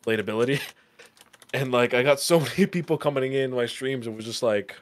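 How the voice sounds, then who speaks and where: A man's voice: medium pitch, a little fast. A man in his thirties, a livestreamer chatting casually with friends at home, rambling and swearing with plenty of laughs, on a headset and desk mic. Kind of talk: casual chat with friends while gaming. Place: home room, indoors.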